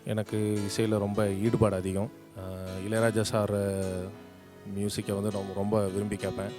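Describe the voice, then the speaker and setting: A man from Coimbatore with a Tamil Nadu accent introduces himself informally as a singing contestant, speaking in a halting way with pauses. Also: lowish voice, flat delivery. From Coimbatore, Tamil Nadu, India. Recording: music playing behind the voice.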